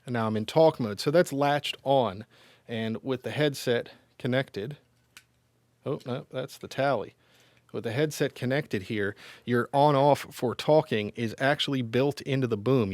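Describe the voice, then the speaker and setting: A man narrating a product review in a measured, informal, explanatory way, as a gear reviewer. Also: medium pitch, dry recording.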